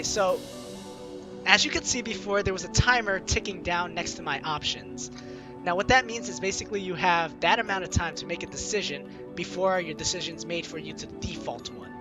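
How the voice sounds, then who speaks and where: Animated, higher-pitched man reading a game. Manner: animated, theatrical. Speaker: gaming video host. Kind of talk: let's play commentary and dramatized reading of game text. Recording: music behind the voice. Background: game music.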